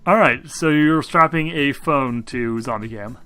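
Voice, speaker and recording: Male game master, bright tone, improvised halting narration, light music underneath. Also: mid-range voice, clean tone. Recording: music mixed behind voice.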